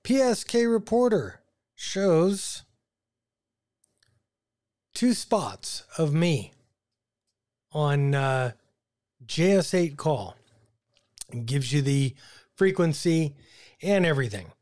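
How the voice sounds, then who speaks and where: An American man in his fifties giving a casual, halting explainer to camera as a video host, thinking aloud in an informal way. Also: on the high side, very animated, darkish tone. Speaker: ham radio video host. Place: small home radio room, indoors. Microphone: desk broadcast microphone on boom arm.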